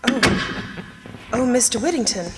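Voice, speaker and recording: A woman's voice: a little high, expressive, measured pace, echoey room, big-room sound, heavy background noise. An actress playing a frightened but defiant young woman held captive, acted dramatically in old-time radio horror style. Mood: polite, uneasy. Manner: dramatic, pleading.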